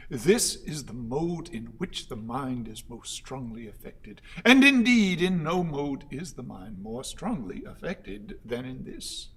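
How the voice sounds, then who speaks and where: An actor playing a pompous, servile orator in a radio play, declaiming in formal, repetitive phrases. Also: male voice, medium pitch, very animated, slow, fairly bright tone, slightly rough, full character voice. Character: Joe, pompous servile orator lecturing his lord.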